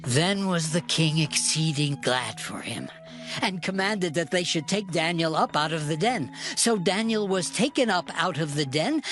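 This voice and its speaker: A male narrator reads scripture for an audio drama with solemn, measured phrasing. His pitch moves a great deal, and music plays clearly underneath. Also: fairly bright tone.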